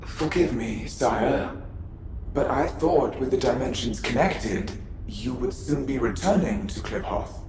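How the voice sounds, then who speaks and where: A man's voice: mid-range voice, unhurried, reverberant, noisy background, lightly acted. An actor playing a loyal, grave servant commander in a video game, speaking formally and deferentially to his sovereign. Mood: anxious, deferential. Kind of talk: dramatic video game cutscene acting.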